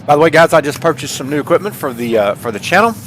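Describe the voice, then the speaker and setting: A man's voice: a little high, lively intonation, quick pace, fairly bright tone. Folksy man chatting, with background noise. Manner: folksy, repeats phrases for emphasis; earnest, heartfelt. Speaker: gaming channel host. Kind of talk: casual chat to viewers. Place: indoors at home, paintings behind.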